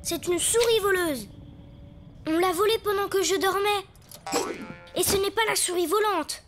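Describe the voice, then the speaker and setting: A woman's voice: extremely high pitch, unhurried, soft background music. A woman voicing a brave, eager little boy who is a cosmic superhero in a cartoon. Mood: indignant. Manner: eager and spirited. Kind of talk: cartoon voice acting.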